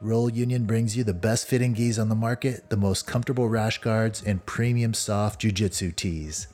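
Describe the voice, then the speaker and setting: Guy reading podcast sponsor spots, low mellow voice, faint music behind. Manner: steady scripted delivery. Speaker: podcast host. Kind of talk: sponsor ad read.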